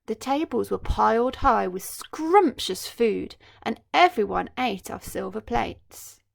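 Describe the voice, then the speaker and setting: A woman's voice: fairly high pitch, lively intonation, slow, gravelly. A woman primary school teacher reading a story expressively, with explanatory asides for young children.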